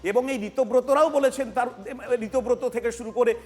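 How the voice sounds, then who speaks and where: A man's voice: extremely high pitch, steady tone, moderate pace, big-room sound. A man with a West Bengal Bengali accent giving emphatic political analysis on a TV panel, repeating his key phrases.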